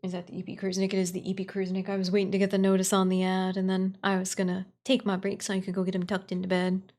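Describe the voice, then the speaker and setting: Informal, excitable woman streamer. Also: medium pitch, fast talker. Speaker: streamer, writer and voice actor. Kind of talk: casual livestream chat.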